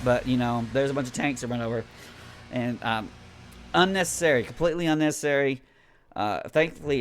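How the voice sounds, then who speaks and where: A man giving an unscripted, casual game review in a rambling, blunt, griping and sweary way. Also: medium pitch.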